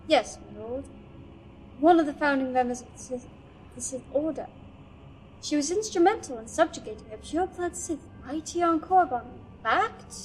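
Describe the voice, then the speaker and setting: A woman's voice: high voice, moderate pace, a little hiss or hum, close to an everyday voice, rough recording. A woman playing a nervous, eager, scholarly archive curator in a sci-fi audio drama, stammering and deferential. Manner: nervous, stammering, deferential, bossy to her assistant. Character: flustered, eager scholarly female archive curator serving a Sith. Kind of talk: fan audio drama acting.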